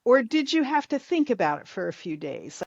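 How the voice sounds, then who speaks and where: A woman's voice: a little high, big pitch swings, moderate pace, creaky, darkish tone, dry recording, muffled recording. A woman hosting a podcast interview, playful with short prompts. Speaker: podcast host.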